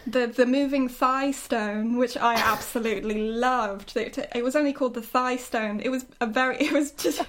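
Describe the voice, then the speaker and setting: Chatty woman in relaxed creative conversation. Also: fairly high pitch, moderate pace, hardly any pauses, slight background noise. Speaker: writer discussing their own show. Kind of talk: casual reflective conversation.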